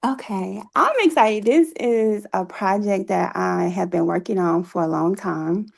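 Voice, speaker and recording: Female interview guest, gravelly fry in the voice, effusive, conversational. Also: medium pitch, unhurried. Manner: effusive, laughs readily. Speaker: interview guest, author and playwright.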